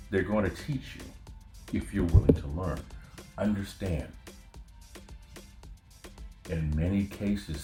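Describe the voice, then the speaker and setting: A man with a very low, dark voice delivers a blunt, profane monologue in a casual podcast style. He is from Northern California. His voice echoes in the room, and faint music plays behind him. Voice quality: profane, conversational rant. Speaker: podcast host.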